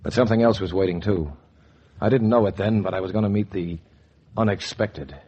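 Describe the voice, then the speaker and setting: Deep-voiced, world-weary noir painter. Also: male voice, a little fast, mellow, husky. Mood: wry, ominous. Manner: wry, hard-boiled storytelling. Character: down-on-his-luck male painter, world-weary, hopeful, noir narrator. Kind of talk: 1940s radio noir acting and narration. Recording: noisy, muffled 1940s radio recording.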